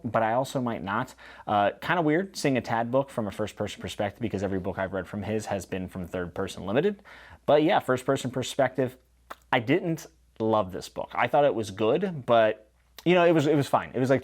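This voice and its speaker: A man's voice: medium pitch, animated, fairly quick. A man hosting a casual book review vlog, talking in an informal, enthusiastic and emphatic way. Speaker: book review channel host. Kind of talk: casual monthly book wrap-up to camera.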